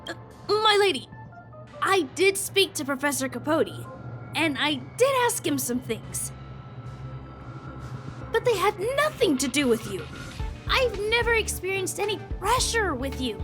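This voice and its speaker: High, halting, loyal young boy. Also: sing-song, music in the background, heavily acted. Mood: anxious, defensive. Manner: hesitant, halting, deferential. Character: loyal, flustered young boy guard, deferential to his lady. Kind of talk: video game voice acting.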